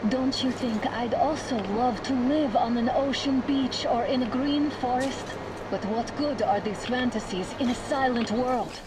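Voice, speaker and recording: High-voiced blunt young woman soldier played by an actress. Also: a little slow, background noise, lightly acted. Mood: weary, exasperated. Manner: blunt, emotive. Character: tough, impatient young woman soldier, caring wife. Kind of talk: dramatic video game acting.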